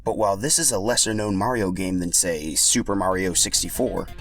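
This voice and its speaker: A man's voice: deep voice, brisk, vocal fry, fairly bright tone. A man hosting a podcast and reading scripted narration as a storyteller.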